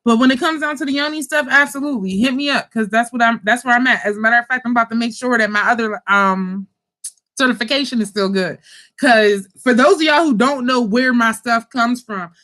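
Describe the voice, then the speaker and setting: A high-pitched woman chatting casually to her viewers about spiritual kits she sells. She is animated and talkative, swears freely and keeps calling her audience y'all. She comes from Pittsburgh. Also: brisk. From Pittsburgh, USA. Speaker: spiritual kit seller and channel host. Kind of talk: casual livestream chat to viewers.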